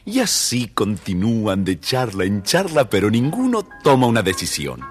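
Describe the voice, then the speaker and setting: A man's voice: fairly low pitch, wildly swooping pitch, normal speed, faint music behind. A man narrating a scripted children's story as the storyteller.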